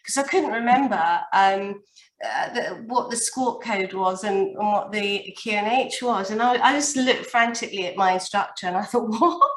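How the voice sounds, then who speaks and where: A woman's voice: medium pitch, fairly bright tone. Echoey, distant woman student. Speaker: student helicopter pilot. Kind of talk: casual question in a group class. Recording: echoey room, distant from mic.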